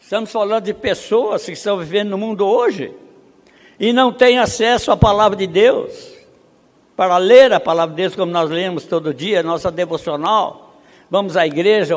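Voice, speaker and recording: A man from Brazil speaking at a missionary conference, reading statistics slowly and building to emphatic rhetorical questions. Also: high voice. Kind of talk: conference preaching. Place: seminary auditorium. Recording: long hall reverb.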